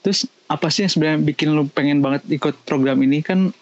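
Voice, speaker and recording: A man's voice: on the high side, quick pace, muffled recording. A man hosting a podcast, talking in casual slang and prompting his guest with short questions. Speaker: podcast host. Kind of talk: casual chat.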